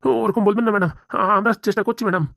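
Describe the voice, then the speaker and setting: A woman's voice: medium pitch, steady tone, rapid-fire, fairly bright tone, dry recording, clean studio sound, natural voice. A woman voicing a flustered, grovelling underling officer in a comedy cartoon, stammering and eager to please. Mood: nervous, pleading. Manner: stammering, obsequious. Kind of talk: Bengali comedy cartoon dialogue.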